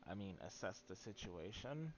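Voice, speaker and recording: A man's voice: on the low side, animated, moderate pace. A young adult man giving casual, playful live commentary as a game streamer, with slangy asides. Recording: dull lo-fi audio with game noise.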